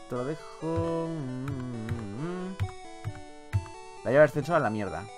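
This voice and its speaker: A man from Spain with a Spanish accent giving casual, expressive, sweary let's-play commentary on a video game. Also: mid-range voice, takes it slowly, over music. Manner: expressive, colloquial, swears freely. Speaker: gaming YouTuber, host.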